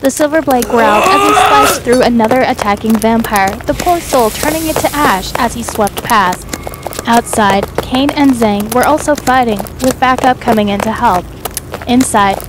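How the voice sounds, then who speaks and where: A woman's voice: a little high, expressive, moderate pace, noisy background. A woman reading scripted narration for a dramatic fan fiction audio story. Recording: music behind the voice. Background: music.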